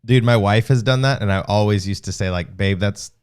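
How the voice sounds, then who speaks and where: A man's voice: lowish voice, a little fast, a little mellow, clear, resonant tone. A man hosting a casual podcast interview, upbeat and chatty. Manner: upbeat, chatty, reads sponsor spots. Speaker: podcast host.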